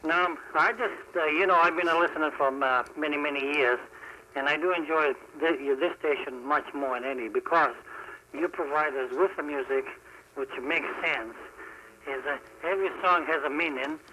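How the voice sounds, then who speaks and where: A man calling in to a radio show, speaking politely and haltingly. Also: on the high side, pushed and bright, dull audio. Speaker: phone-in caller. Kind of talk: halting, polite listener call. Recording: 1970s radio call-in.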